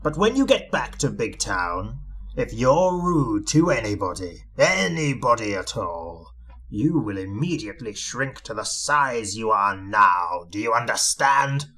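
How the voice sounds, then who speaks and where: Casting: regal goblin monarch for a children's story, acted by the narrator, stern, measured, commanding. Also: mid-range voice, big pitch swings, a bit bright, husky, heavily acted. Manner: measured, authoritative. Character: stern, lordly male king of the goblins.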